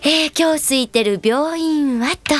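An actress playing a caring, brisk young woman who is a loyal teammate, coaxing and animated in a Japanese anime audio drama. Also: high-pitched. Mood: coaxing, cheerful.

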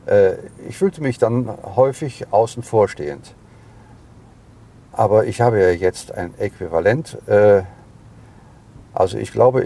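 A man in his seventies, a father being interviewed, talking in a halting, reflective, unscripted way. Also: on the low side, mellow. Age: 70s. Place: moving car.

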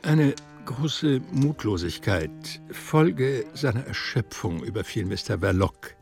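An actor as a calm, omniscient storyteller, reading literary narration deliberately in a German radio drama. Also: male voice, medium pitch, gravelly, soft background music. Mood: grave, measured.

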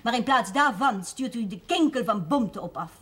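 An actress playing a domineering, indignant schoolmaster's wife in a television drama, stern, haughty and lecturing. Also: female voice, on the high side, lively intonation, normal speed, fairly bright tone. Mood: reproachful.

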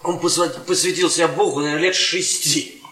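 A man's voice: fairly high pitch, fairly even intonation, a little fast, pushed and bright, soft background music. An actor playing a guarded, earnest younger male academic in a radio play, tipsily defensive and insistent, often repeating himself. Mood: boastful, rambling. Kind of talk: radio theatre stage acting. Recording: echoey room.

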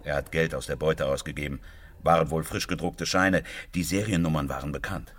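Low-voiced, chatty hunting club man. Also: fairly even intonation, fairly quick. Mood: helpful. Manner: folksy, talkative. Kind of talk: radio play acting.

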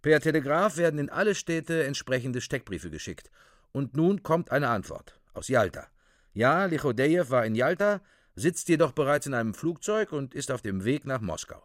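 A man narrates a radio play in a mid-pitched, animated voice. He sounds like someone giving an emphatic official report. The studio sound is dry. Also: normal speed, no echo.

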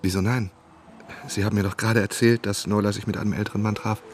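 An actor playing an inquisitive, persistent novelist turned investigator, narrating and questioning matter-of-factly in a German radio drama. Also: male voice, fairly low pitch, flat delivery, quick pace, close to an everyday voice. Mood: probing. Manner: probing, matter-of-fact. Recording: clear background ambience noise.

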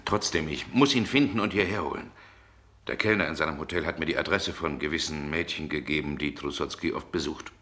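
An actor playing an irritable, commanding gentleman, brusque and imperious, in a 1960s German radio drama. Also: very deep voice, fairly quick. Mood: agitated, grim. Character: irritable, commanding 19th-century gentleman, nervous and domineering.

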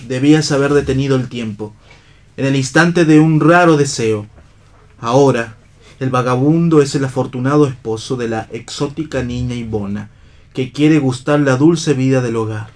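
A man's voice: mid-range voice, moderate pace, lightly acted. A man acting a philosophical vagabond husband in a play reading for radio, with declamatory, literary phrasing that is tender, bitter and ironic.

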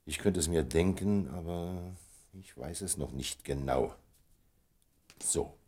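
Radio play, indulgent gentleman lover, very deep, husky, measured, tender, secretive. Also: full character voice. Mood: secretive, deliberate. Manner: measured, indulgent, murmuring agreement. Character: indulgent, well-travelled male lover Charles, tender and secretive. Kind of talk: German radio play acting.

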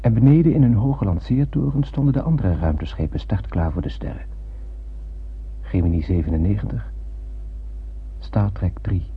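An actor playing a wistful spaceman who narrates his voyage in a radio play, lyrical and deliberate, with poetic wonder. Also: fairly low pitch, noisy background, natural voice. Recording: narrow, tinny old radio broadcast.

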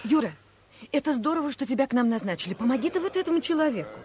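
A woman's voice: sits high, big pitch swings, racing, a little mellow. An actress playing a chatty, fussy middle-aged office woman in a 1970s radio play, eager and meddling. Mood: pleading. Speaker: office worker.

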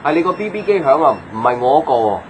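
An actor playing an easygoing, chatty young man in a radio drama, casual and expressive. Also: a little high, fast talker, slight background noise, poor audio. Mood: casual, polite. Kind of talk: Cantonese radio drama dialogue. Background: background music.

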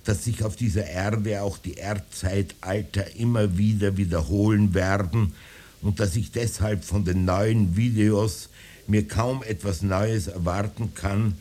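Slow, low-voiced old man musing. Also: steady tone, warm tone. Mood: musing, detached. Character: rambling old man spinning absurd apocalyptic visions. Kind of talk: literary radio-play monologue.

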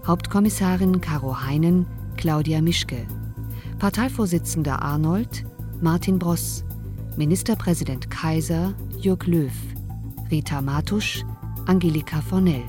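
Slow, low-voiced woman announcer over music. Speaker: radio drama announcer. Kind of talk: scripted closing credits announcement. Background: prominent music.

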